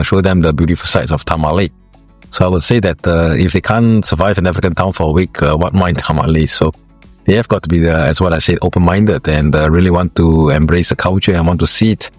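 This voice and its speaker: Deep-voiced man answering with many pauses. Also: no room sound. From Singapore. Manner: halting, many fillers and restarts. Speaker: interviewee, former footballer. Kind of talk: casual interview answers.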